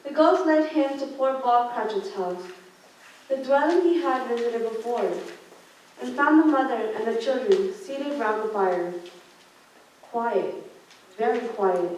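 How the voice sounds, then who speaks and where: A woman's voice: fairly high pitch, darkish tone. A teenage girl reading scripted story narration for a student radio play, with occasional stumbles. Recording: very echoey room, distant microphone.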